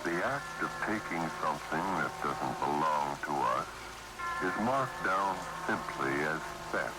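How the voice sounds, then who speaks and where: A man opening a 1940s radio drama in a slow, portentous, theatrical manner. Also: extremely low pitch, lively intonation, poor audio. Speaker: radio show announcer. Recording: old radio broadcast with loud music.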